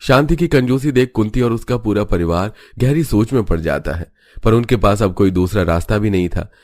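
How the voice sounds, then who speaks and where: A man's voice: fairly low pitch, a little mellow, no echo. A man narrating a scripted cartoon family story as the storyteller.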